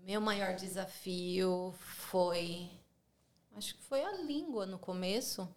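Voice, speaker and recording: A woman's voice: on the low side, slow pace. A woman from São Paulo with a São Paulo accent, a podcast guest talking casually and chattily, often tagging her sentences with questions. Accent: Brazilian Portuguese, São Paulo. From São Paulo, Brazil. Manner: chatty, frequent tag questions. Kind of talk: casual conversational interview. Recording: roomy echo.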